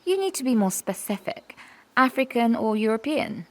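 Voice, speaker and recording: A woman's voice: on the high side, big pitch swings, normal speed. A woman hosting a casual, lightly scripted question-and-answer vlog. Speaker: vlogger and animator hosting a Q&A.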